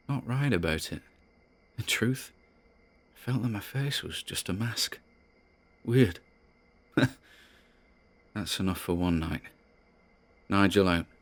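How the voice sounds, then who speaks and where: An actor playing a spooked man who rambles haltingly and nervously as he records private audio logs in an audio drama. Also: low-pitched. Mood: uneasy, weary. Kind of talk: dramatic audio-log monologue.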